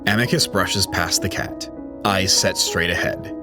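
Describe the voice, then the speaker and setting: A man giving solemn, measured dramatic narration of a scripted story. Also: low-pitched. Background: loud music.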